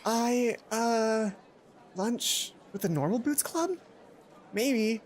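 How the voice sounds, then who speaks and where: A man's voice: very high-pitched, very slow, slight background noise, pitched above the performer's own voice, heavily acted. A young man voicing a nervous, flustered teenage schoolgirl in a visual novel. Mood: hesitant, hopeful. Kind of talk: visual novel character reading.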